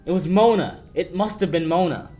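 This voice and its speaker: A man's voice: high-pitched, moderate pace, mellow, close to an everyday voice. Young man as a tough wisecracking lawyer, music behind. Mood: dazed, urgent. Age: young adult. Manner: hard-boiled, wry, challenging. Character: tough wisecracking male lawyer, suspicious and dry. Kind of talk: 1940s radio play acting.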